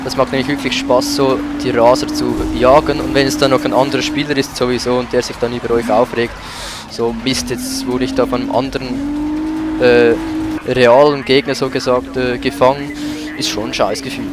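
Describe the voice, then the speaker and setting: A man's voice: medium pitch, little pitch movement, normal speed. A man giving casual commentary as he plays a video game. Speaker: let's play gamer. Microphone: voice-over mic over game audio. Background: racing game car sounds and music.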